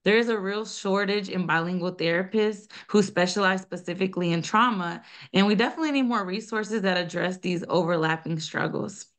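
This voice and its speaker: A woman's voice: mid-range voice, fairly quick. A woman, answering interview questions earnestly with frequent restarts. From United States (North Carolina). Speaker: guest, nonprofit founder and social worker.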